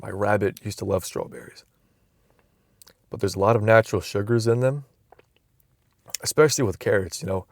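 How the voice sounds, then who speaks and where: A man's voice: on the low side, normal speed, vocal fry, pauses often, no room sound, studio quality. A young man vlogging from inside a car, musing aloud in a loose, rambling way with frequent restarts, recorded on a phone camera. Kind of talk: casual rambling vlog.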